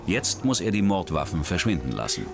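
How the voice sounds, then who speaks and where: A deep-voiced man narrates a crime documentary in a measured, dramatic way. His low voice rises and falls widely. Music plays clearly behind him. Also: sing-song. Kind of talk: scripted true-crime narration. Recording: studio voice-over with music behind.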